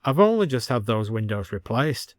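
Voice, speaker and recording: A man's voice: mid-range voice, big pitch swings, moderate pace, no echo, clean studio sound, lightly acted. A man voicing a wry village shopkeeper with a persuasive manner in a story reading.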